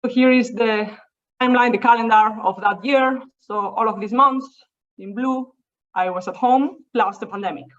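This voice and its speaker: A woman's voice: on the high side, normal speed. A young woman with a Spanish accent gives an informal, storytelling seminar talk over a video call. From Spain. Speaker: seminar speaker. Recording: muffled video call audio.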